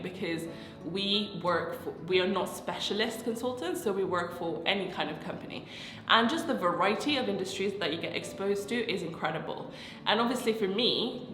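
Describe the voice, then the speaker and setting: A woman's voice: on the low side, a bit bright. A young woman, congested and nasal from a cold, chatting casually to camera as she explains her work point by point. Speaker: consultant and vlogger. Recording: roomy echo with a long tail and faint music.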